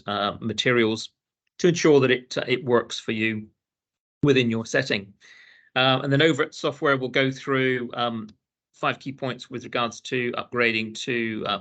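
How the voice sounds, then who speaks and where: A man from the UK presenting a business webinar, pausing often with ums and uhs. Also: mid-range voice, a bit bright. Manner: hesitant, many ums and uhs.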